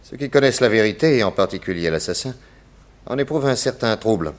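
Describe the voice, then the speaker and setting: An actor playing a calm, authoritative male detective in a 1960s French radio drama, deliberate and courteous. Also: fairly low pitch, natural voice. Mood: assured, analytical.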